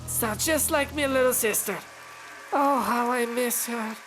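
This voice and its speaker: A man puts on an embittered villager in mourning, pitched well up, snappish and accusing. It is fantasy game dialogue with music and rain behind it. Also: a little slow, low-quality recording. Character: bitter grieving villager, mourning a little sister.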